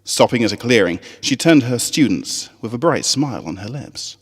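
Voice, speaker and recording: Male story narrator, expressive mid-pitched delivery with animated swings, heavy hall reverb. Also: moderate pace, breathy edge. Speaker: fan fiction reader.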